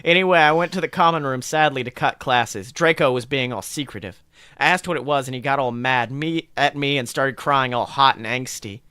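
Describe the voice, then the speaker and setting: A man's voice: mid-range voice, fairly quick, bright, forceful tone, smooth, no echo, clean studio sound. A man co-hosting a podcast, giving a playful, mock-dramatic reading of a story with sardonic asides. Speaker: podcast co-host.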